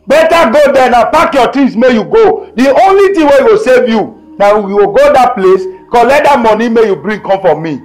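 A man's voice: high-pitched, lively intonation, normal speed, slightly rough, low-quality recording. A man with a Nigerian accent playing an angry husband who scolds his wife in a comedy skit. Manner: scolding, shouting orders.

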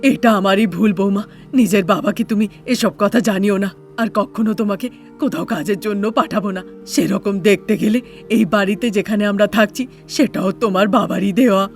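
A woman's voice: mid-range voice, fairly quick, faint music behind, natural voice. A woman voicing a middle-aged mother-in-law in a cartoon tale, apologetic and reassuring. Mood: contrite. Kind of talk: cartoon fairy-tale character acting.

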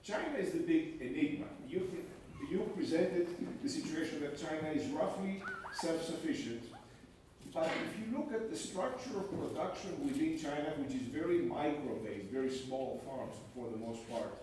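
A man's voice: on the high side, expressive, moderate pace, background noise. A man from the audience posing a long question at a conference, fluent and argumentative. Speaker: audience member asking a question. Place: large conference hall. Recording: echoey hall from far off.

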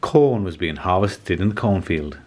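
A man in his forties reading a children's storybook aloud as scripted narration, in a measured, expressive way. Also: low-pitched. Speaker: children's storybook reader and host.

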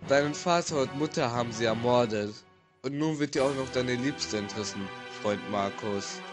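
A man's voice: mid-range voice, moderate pace, natural voice. A man playing a loyal young thief and stage actor in an amateur audio drama. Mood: solemn, theatrical. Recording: loud music under the voice.

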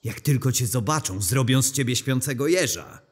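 Male actor as mouthy forest sprite for radio play; raspy, very animated, indignant. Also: medium pitch, moderate pace. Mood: blunt objecting. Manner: indignant, blunt.

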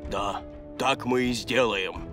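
An actor playing a resolute, confident cartoon hero leader with a dramatic, declamatory delivery. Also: male voice, lowish voice, slow pace. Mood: determined. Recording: loud music behind.

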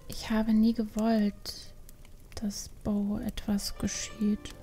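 Woman as a hushed, slow-spoken young panda girl. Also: medium pitch, completely flat delivery, faint music behind. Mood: subdued. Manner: soft, hesitant, hushed and heartfelt. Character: grieving, restless young female panda longing for adventure. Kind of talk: dramatised audiobook acting.

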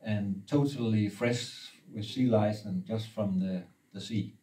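A fishing guide in his forties whose first language is Danish, explaining steadily with pauses, recorded indoors from a few feet away. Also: lowish voice, mellow, far from the mic, poor audio. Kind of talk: casual interview. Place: indoor room with brick wall and river map.

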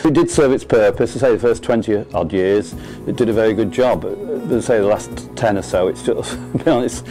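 A man's voice: on the low side, expressive, moderate pace, few pauses, mellow. An English man in his sixties, a farmer giving an interview testimonial. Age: 60s. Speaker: dairy farmer. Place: old farm building. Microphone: lapel. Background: guitar music.

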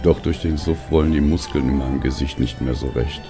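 A man with an extremely low, gravelly voice reads a first-person story. His delivery is slow, weary and brooding, with music playing behind him. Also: fairly even intonation. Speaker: narrator. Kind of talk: scripted first-person audio story narration.

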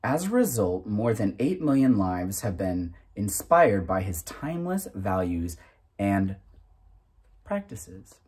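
A man in his thirties reading aloud from a self-help booklet in a deliberate, emphatic manner, occasionally stumbling over the text. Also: lowish voice, sing-song, darkish tone, slightly rough. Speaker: narrator reading a book aloud.

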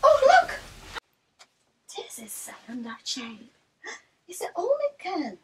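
Female early-learning presenter, playful and slow, very high voice with big swings, recorded at some distance. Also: slightly rough. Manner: animated, playful, child-directed delivery. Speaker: children's read-aloud presenter and teacher. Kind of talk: educational read-aloud for young children. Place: small indoor classroom inside a mobile learning vehicle. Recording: distant mic with faint room noise.

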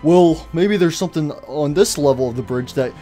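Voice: mid-range voice, moderate pace, over music, voice pushed down, natural voice. A performer voicing a kindly but fretful male animatronic bear in a cartoon skit, stammering and pleading. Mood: relieved, reassuring.

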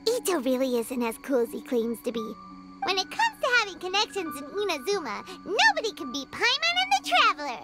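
A woman voicing a tiny, cheeky, excitable fairy companion with a squeaky childlike voice in a video game. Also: brisk, loud music behind the voice. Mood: cheeky teasing. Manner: refers to herself in third person.

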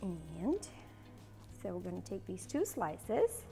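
A woman's voice: fairly low pitch, big pitch swings, slow pace, vocal fry, mellow, long reverb tail, faint music behind. A woman in her forties hosting a cooking demonstration in a large home kitchen, chatty and bubbly, with a playful put-on Southern accent. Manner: chatty, bubbly, laughs often. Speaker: cooking show host.

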